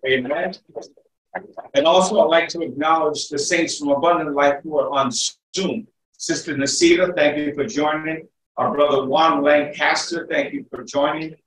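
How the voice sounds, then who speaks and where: A man, a pastor and guest speaker, opening his sermon loudly and exhorting the congregation to praise. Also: on the high side, steady tone, normal speed. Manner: loud, exhorting. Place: church sanctuary at a pulpit. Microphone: far camera, speaking without mic. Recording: echoey church from far off.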